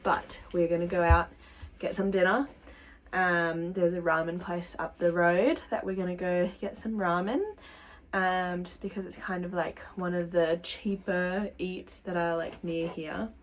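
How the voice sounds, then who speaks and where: A woman's voice: lowish voice, little pitch movement, a little slow, a little hiss or hum, poor audio. A young woman talking casually to her camera as a travel vlogger, rambling with lots of fillers. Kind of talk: casual vlog talk to camera. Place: travel spots and a hotel pod.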